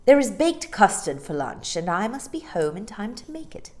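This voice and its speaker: A woman voicing a brisk, no-nonsense nanny in a storybook, speaking in a crisp, matter-of-fact and slightly disdainful manner. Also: mid-range voice, sing-song, darkish tone, pitched above the performer's own voice, close to an everyday voice.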